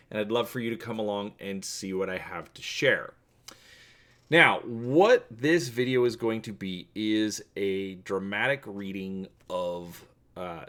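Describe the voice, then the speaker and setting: A man's voice: fairly low pitch, a bit bright. A middle-aged man hosting a tabletop gaming channel, talking in a casual, presenter-like introduction style.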